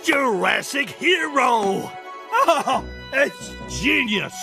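An actor playing a bossy, excitable older male film director in a cartoon, barking orders theatrically. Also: extremely high pitch, drawn-out words, gravelly, recorded from a distance, over music, exaggerated voice. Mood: excited, commanding. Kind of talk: cartoon voice acting.

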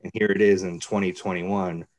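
A man with a deep voice speaks slowly and deliberately, taking long pauses as he chooses his words. His delivery is measured and somber. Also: flat delivery, darkish tone, low-quality recording. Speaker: journalist. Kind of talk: reflective spoken commentary.

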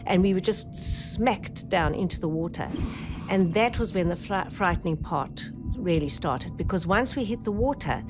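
A middle-aged woman speaks in a slightly low register as a documentary interviewee. She retells a survival ordeal in a vivid, urgent way, often cutting herself off and starting over. Faint dramatic music plays beneath her voice. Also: lively intonation, moderate pace. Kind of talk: documentary testimony. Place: dark indoor interview studio.

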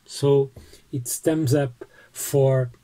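A man in his forties with a Portuguese accent, casually showing his postcards at home and talking through each one with small pauses. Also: mid-range voice, steady tone, warm tone, rough recording. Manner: unhurried, friendly, pauses with fillers while showing items. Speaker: postcard collecting vlogger. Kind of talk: casual show-and-tell vlog. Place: small home room lined with bookshelves, indoors.

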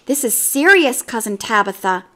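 A woman voicing a brisk neighbour cat who speaks firmly and matter-of-factly. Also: on the high side, sing-song, fairly bright tone. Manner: firm, matter-of-fact.

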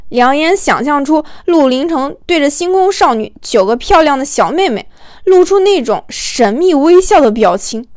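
Female audiobook narrator, high voice, expressive scripted storytelling, colloquial, comic romance, dry recording. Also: normal speed, no echo.

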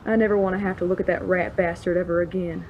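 A woman's voice: on the low side, flat delivery, fast, highs cut off, slight background noise, lightly acted. A woman playing a callous, dismissive outlaw gang boss in a western audio drama. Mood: callous amusement. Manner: menacing, dismissive. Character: cold, callous male outlaw gang boss, former sheriff.

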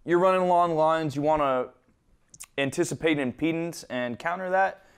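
A man with a fairly high, clear voice walks through a technical tutorial. He explains each step informally and conversationally, like a hands-on instructor. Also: moderate pace. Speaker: instructor presenting an equipment tutorial.

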